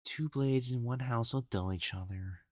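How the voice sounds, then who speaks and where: A man playing an elegant, proper matchmaker in a dramatic audio roleplay, speaking formally and haltingly as he hides his jealousy. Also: medium pitch, lightly acted. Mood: searching, dismissive. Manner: formal, halting, stammering when flustered.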